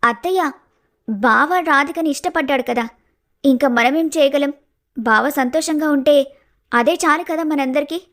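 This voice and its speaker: A high, youthful female voice acted by a woman plays a gentle young village woman in a cartoon family tale. She is heartbroken and earnest, questioning herself with quiet sorrow. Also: moderate pace, pauses often. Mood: subdued. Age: young adult. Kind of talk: animated family story dialogue.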